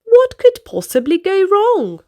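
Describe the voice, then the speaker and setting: A high-voiced woman reads a storybook aloud to young children. She takes her time, with big melodic swings and direct questions and exclamations for the listeners. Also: wildly swooping pitch, measured pace, dark tone. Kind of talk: expressive read-aloud narration for children.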